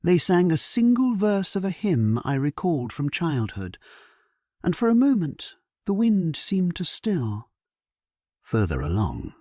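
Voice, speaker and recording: A man playing a solemn, resolute English warrior king, narrating his battle in a measured, stately way for an audio drama. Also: fairly high pitch, darkish tone, husky, dry recording, clean studio sound, heavily acted. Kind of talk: dramatic scripted first-person narration, sleep story.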